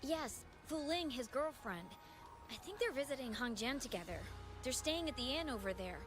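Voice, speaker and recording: An actress playing a flustered, eager young receptionist in a game. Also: female voice, high-pitched, lively intonation, normal speed, music in the background. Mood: chatty.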